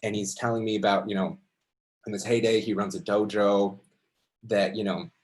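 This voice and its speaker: A man telling an unscripted personal story to an audience in a halting, conversational, reflective way. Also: lowish voice, little pitch movement, a little mellow. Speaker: amateur storyteller at a story slam.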